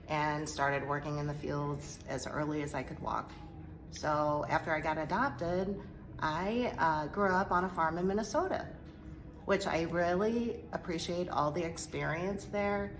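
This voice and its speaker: A middle-aged woman from Korea speaks slowly in a low, gravelly voice. She talks hesitantly, with pauses and fillers, as she tells her story in an interview. A faint hiss sits under her voice. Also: steady tone. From South Korea. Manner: hesitant, halting, soft-spoken with many fillers. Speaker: interview guest. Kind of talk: personal testimony interview.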